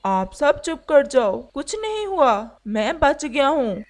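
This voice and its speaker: A woman voicing a gentle, devoted father pigeon in a cartoon, speaking in an earnest, polite, pleading manner. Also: sits high, big pitch swings, slow, slight background noise, full character voice. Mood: sorrowful.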